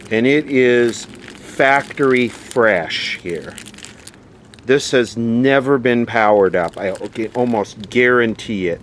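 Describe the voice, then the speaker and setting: A man's voice: mid-range voice, slow, a little hiss or hum. A man giving casual, unscripted hands-on commentary, rambling a little as he thinks aloud. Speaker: vlogger demonstrating vintage radio equipment.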